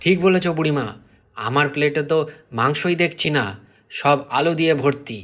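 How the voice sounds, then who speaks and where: A man's voice: a little high, moderate pace, fairly bright tone, natural voice. Indignant village man, played by an actor. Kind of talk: cartoon folk tale acting.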